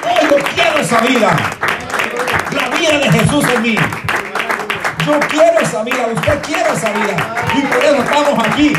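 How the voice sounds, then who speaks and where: A man preaching a fervent sermon as pastor, moving between quiet explanation and shouted proclamation and often asking his congregation to agree. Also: very high voice, normal speed, loud music behind the voice. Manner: often asks the congregation "¿verdad?". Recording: echoey room from far off with faint crowd noise.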